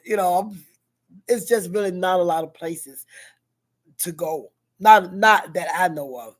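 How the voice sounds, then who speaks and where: A middle-aged woman with a Southern American accent and a deep, heavy voice, chatting casually and musing aloud in a personal vlog. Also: slightly rough. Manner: chatty, musing, many you-knows. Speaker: vlogger.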